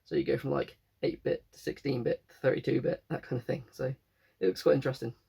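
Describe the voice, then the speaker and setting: A man's voice: medium pitch, steady tone, quick pace, gravelly, warm tone, husky. A young man with a cold, chatting casually and rambling about his latest finds, often correcting himself. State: croaky with a cold. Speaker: game collector vlogger. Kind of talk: casual chat.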